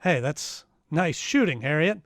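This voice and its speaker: A man's voice: a little high, lively intonation, measured pace, no echo, studio quality. A man playing a sardonic, wisecracking private detective in the style of 1940s radio drama. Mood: taunting. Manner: sardonic, taunting, wry. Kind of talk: 1940s radio detective acting, live performance. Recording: noisy home live-stream recording.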